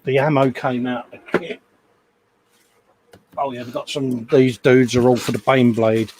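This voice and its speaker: A man's voice: medium pitch, normal speed, background noise. Chatty man on a live stream. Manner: relaxed, chatty, reads out viewer comments. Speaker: co-host of a live hobby stream. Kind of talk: casual chat.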